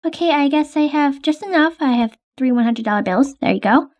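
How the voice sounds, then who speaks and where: A woman with a high voice plays her part in a casual game roleplay. She talks in a hesitant, chatty way with many fillers. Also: expressive, brisk, a little mellow. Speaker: roleplay player.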